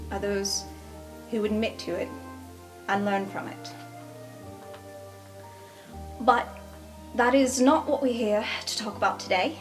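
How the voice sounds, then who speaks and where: A woman voicing an earnest young woman who gives a measured, formal speech of thanks at a feast. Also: mid-range voice, lightly acted, low-quality recording. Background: soft background music.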